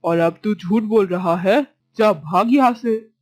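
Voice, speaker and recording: A woman voicing a crafty, miserly village uncle in a children's cartoon, gruff and dismissive. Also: medium pitch, slow pace, a little mellow, no echo, clean studio sound. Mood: scornful, dismissive. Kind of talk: children's cartoon story voice acting.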